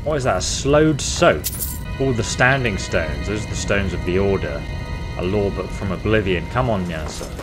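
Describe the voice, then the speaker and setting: A man's voice: lowish voice, lively intonation, moderate pace, music in the background. A man hosting a game playthrough with casual commentary and a playful, mock-dramatic manner. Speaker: gaming video host. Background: game soundtrack.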